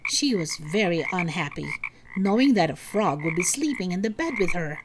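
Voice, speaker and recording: A woman narrating a scripted children's story in an expressive, measured way. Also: on the low side, background noise.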